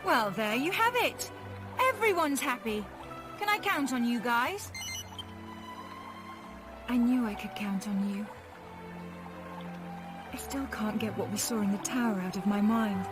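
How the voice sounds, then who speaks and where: An actress playing a bold, bossy young woman adventurer in a video game, with an assertive, brisk manner. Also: on the high side, lively intonation. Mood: pleased. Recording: game music behind the voice.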